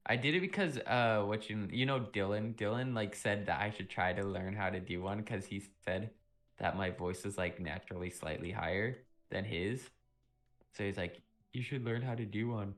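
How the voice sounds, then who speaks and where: A young man on a live stream, chatting casually and rambling with lots of fillers, a little sick and often clearing his throat. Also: fairly low pitch, moderate pace, fairly bright tone. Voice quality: vocal fry. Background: music playing.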